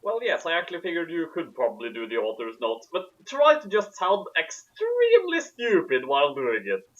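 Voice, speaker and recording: Animated man on dull lo-fi audio. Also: on the high side, moderate pace. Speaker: co-host and reader. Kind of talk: casual commentary.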